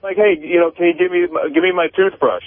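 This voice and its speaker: A male caller with a slightly high voice, heard down a tinny phone line. He tells his story casually but haltingly, stammering and defending himself as he goes. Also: no room sound. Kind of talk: casual confessional anecdote.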